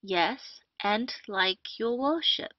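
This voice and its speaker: A woman's voice: medium pitch, animated, slow. A woman playing a vengeful, theatrical male army captain in an old stage comedy, taunting in old-fashioned English. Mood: formal, deferential. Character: vengeful gloating male army captain, first posing as constable. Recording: muffled lo-fi roomy home recording.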